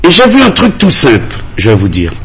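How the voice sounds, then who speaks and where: Husky, insistent man. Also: mid-range voice, wildly swooping pitch, brisk, fairly bright tone. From French Guiana. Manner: insistent, emphatic, repeats phrases to drive points home. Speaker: political candidate in a debate. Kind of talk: radio campaign debate. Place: radio studio.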